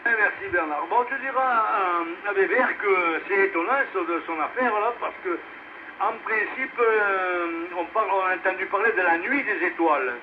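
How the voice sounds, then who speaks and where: Guy hosting a ham radio net, slightly high voice, kinda halting, tinny staticky transmission. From France. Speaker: amateur radio net host. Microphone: two-way radio microphone. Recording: noisy narrowband amateur radio relay transmission.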